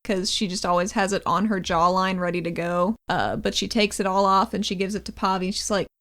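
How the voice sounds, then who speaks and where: A woman with a medium-pitched voice plays a tabletop roleplaying game. She talks in a loose, playful way, improvising what her character does in casual everyday speech. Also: steady tone, fairly quick, a bit bright.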